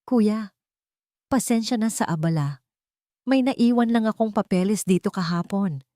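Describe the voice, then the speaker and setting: A woman's voice: medium pitch, a little slow, warm tone, no echo, voice pushed up, lightly acted. A storyteller woman plays a sly schemer in a Filipino audio drama. She talks in a pointed, insinuating way under sugary concern, with a Filipino accent. The recording is very dry. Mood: falsely casual.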